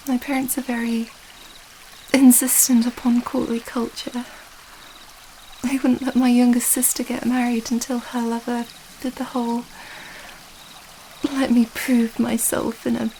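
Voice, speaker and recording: A woman plays a young princess with a light, fairly high voice that stays soft and mellow. She talks intimately, as in an ASMR romance audio, affectionate and earnest, rambling a little hesitantly. Faint ambient noise sits behind her. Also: poor audio. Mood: flustered, explaining.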